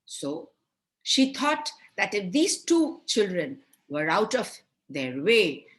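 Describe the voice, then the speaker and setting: A woman telling a children's fairy tale with expressive, explanatory delivery and deliberate emphasis. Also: mid-range voice. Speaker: storyteller.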